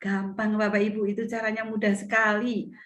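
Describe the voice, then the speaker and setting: A middle-aged woman, teaching an online seminar as a retail business mentor over a video call, her voice a little hoarse from a cough, often restating her points. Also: medium pitch, flat delivery, moderate pace. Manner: explanatory, repeats and restates points. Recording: echoey video call audio.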